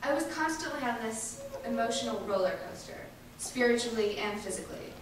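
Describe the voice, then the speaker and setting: High-voiced woman performing in an echoey hall. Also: animated, brisk. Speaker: reader performing an activist's first-person testimony. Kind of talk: dramatic reading of a first-person monologue. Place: large indoor event space, distant microphone. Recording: echoey hall from far off, faint noise.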